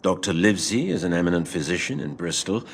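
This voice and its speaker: A man playing a seafarer who tells his past adventure in a measured, literary, expressive way. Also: a little hiss or hum. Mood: wry. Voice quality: low, gravelly. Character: older seafaring man telling his past adventure to a boy, wry and fond. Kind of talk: dramatised storytelling narration.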